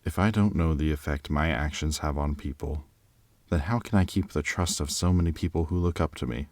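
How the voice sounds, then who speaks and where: A man's voice: extremely low pitch, a little fast, a little mellow, no echo. A man playing a polite, reflective and charming diplomat candidate in an audio drama, speaking in a courteous, thoughtful way. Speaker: job candidate. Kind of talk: audio drama acting, conversational interview answers.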